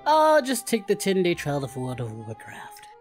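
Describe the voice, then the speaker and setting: A man's voice: sits high, huge pitch swings, normal speed, soft background music. A man voicing a parody of an anime martial-arts hero, cocky, playful and flirty, in improvised banter. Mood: easygoing, confident. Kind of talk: improvised in-character gaming banter.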